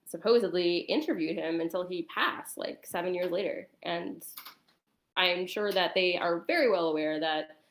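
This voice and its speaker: A woman chatting casually and explaining things in a hesitant, halting way, with a roomy echo on the recording. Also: lowish voice. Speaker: guest on a talk stream. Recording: roomy, echoing sound.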